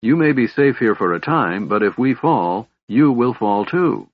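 A man voicing an angry human warrior, blunt and forceful, in a fantasy audiobook. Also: mid-range voice, expressive, unhurried, breathy edge, dry recording, lo-fi sound, pitched above the performer's own voice.

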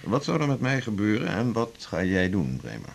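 Dry examiner doctor, lowish voice, lively pitch, businesslike. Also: male voice, a little fast, gravelly, heavily acted.

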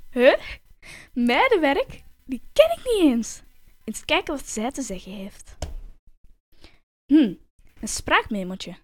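A woman's voice: high-pitched, very animated, slow pace, long pauses, heavily acted. A woman voicing a naive sixteen-year-old girl in an amateur radio play. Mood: curious. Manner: chatty, naive, easily startled. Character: 16-year-old girl, trusting then frightened.

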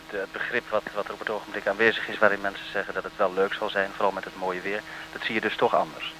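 Male interviewer, fairly low pitch, crisp bright tone, unscripted radio questions and announcements. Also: level tone, fast, a little hiss or hum, low-quality recording.

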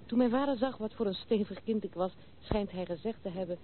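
A woman's voice: medium pitch, a little fast, lightly acted. A woman acting a proud, tomboyish young noblewoman in a radio drama. She speaks frankly and with self-assurance. The sound is tinny and narrow, with some hiss and noise from an old recording. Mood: candid, reminiscing. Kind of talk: Dutch radio play acting.

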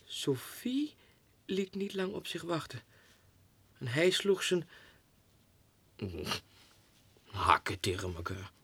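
A man's voice: fairly high pitch, very animated, slow pace, a bit bright, breathy edge, no room sound, voice pushed up, heavily acted. A man voicing a pampered, gossipy female lapdog's letters in an affected, dramatic way for a 1960s radio drama.

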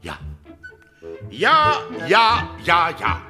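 An actor playing a self-absorbed, erratic artist in a radio play, speaking in halting fragments and abrupt outbursts. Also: male voice, sits high, huge pitch swings, over music, exaggerated voice. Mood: excited approval.

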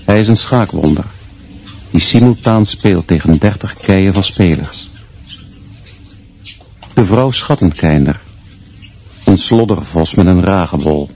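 An actor playing a grim, contemptuous schoolteacher in a radio drama, narrating in clipped, deliberate short sentences. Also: male voice, deep voice, lively intonation, a little mellow, slight background noise. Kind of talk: literary radio drama monologue. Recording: dull, muffled sound.

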